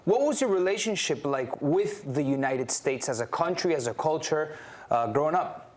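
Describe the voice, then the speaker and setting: A man hosting a studio football show, asking quick, friendly questions with a few restarts. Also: a little high, lively intonation, darkish tone. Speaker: studio host.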